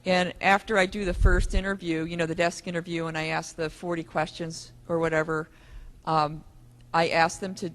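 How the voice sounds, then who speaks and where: A man from the conference audience telling his own experience, rambling and halting, often restarting. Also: on the high side, fairly bright tone. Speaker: audience member. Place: conference room.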